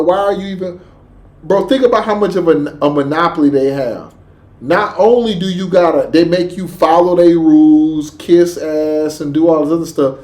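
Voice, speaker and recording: A man's voice: sits high, a little mellow. A man hosting a reaction show with casual, slangy, emphatic commentary, often repeating his phrases.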